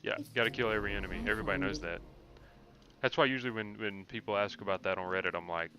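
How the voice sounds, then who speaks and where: A man's voice: fairly low pitch, steady tone, fast talker. A man giving casual gameplay commentary as a streamer, thinking aloud in an informal, slangy way. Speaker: game streamer.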